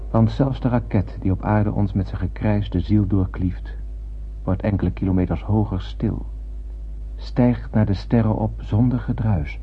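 An actor playing a brooding young spaceship crewman, narrating in a solemn, poetic and measured way in a radio drama. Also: on the low side, lightly acted. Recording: muffled, hissy old radio drama recording.

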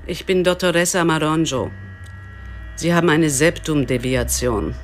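A brisk female hospital doctor in a radio play, acted by a woman. She sounds matter-of-fact and reassuring, with faint music underneath. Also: low voice, natural voice. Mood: calm, businesslike. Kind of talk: radio drama acting.

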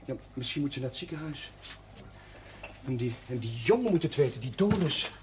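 An actor playing a flustered, caring young chaplain in a television drama, talking in an agitated, halting way. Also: male voice, mid-range voice, animated, natural voice. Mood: troubled, indignant. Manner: agitated, halting, repeating himself. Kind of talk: naturalistic television drama acting. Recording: noisy, hissy old television soundtrack.

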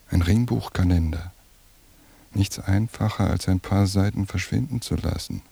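A weary, suspicious surveillance cop broods in an inner monologue for radio drama. His voice is low, dark and husky, with words kept hushed and inward. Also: exaggerated voice. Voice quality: gravelly, husky. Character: weary, suspicious male police surveillance officer, brooding in thought.

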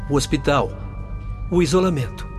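Actor as a formal, dutiful spirit doctor. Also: male voice, a little high, normal speed, natural voice. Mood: grave, matter-of-fact. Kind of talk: radio drama acting, formal. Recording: music behind the voice.

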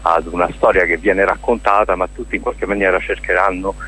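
A man's voice: sits low, steady tone, brisk, sharp tone, breathy edge. A man answering as a festival director in a radio interview, discursive and hesitant as he searches for words.

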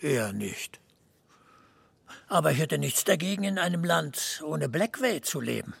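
An actor playing a laconic older small-town man in a radio drama, gravelly and husky, with a dry, understated manner. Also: a little high, sing-song, a little slow, fairly bright tone, no room sound, exaggerated voice. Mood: dry, calm. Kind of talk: naturalistic radio drama acting.

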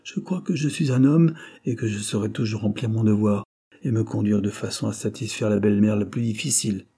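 A man's voice: lowish voice, fairly quick, dark tone. A man reading the part of an earnest, resolute young suitor, sincere and formally respectful.

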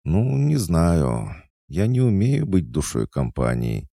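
A man voicing a proud, quick-tempered young noblewoman in an audiobook, sharp and disdainful. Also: low-pitched, huge pitch swings, vocal fry, no echo, clean studio sound, pitched above the performer's own voice, full character voice. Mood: cold.

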